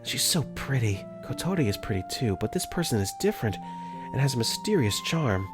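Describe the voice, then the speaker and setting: A man's voice: medium pitch, sing-song, fairly quick, music in the background. A man narrating a visual novel fan dub in first person, earnest and easily flustered.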